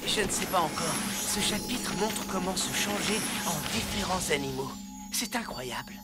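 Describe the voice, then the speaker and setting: A young cartoon apprentice with an eager, naive air. He speaks with wonder in a fairy-tale style, over loud music. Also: high-pitched, lively intonation, moderate pace. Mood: amazed. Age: young adult. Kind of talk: French animated fairy-tale cartoon acting.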